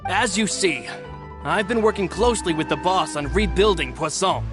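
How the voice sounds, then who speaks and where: A man's voice: high voice, animated, normal speed. An actor voicing a loyal, formal young male assistant in a game cutscene, speaking politely and respectfully. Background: game soundtrack music.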